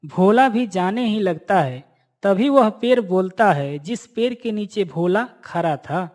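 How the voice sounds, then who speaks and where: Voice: medium pitch, expressive, unhurried, darkish tone. A narrator telling a scripted moral story in storytelling style.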